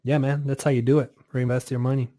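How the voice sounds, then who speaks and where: A man in his twenties from Italy, a music producer chatting casually as a guest, relaxed and often laughing, with many fillers. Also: mid-range voice, quick pace, no room sound. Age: 20s. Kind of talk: casual interview over a call.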